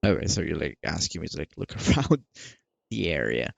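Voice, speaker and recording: A man doing casual let's play commentary in a rambling, halting way, often repeating himself, close to the microphone. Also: very deep voice, big pitch swings, vocal fry, no echo. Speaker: gaming video creator.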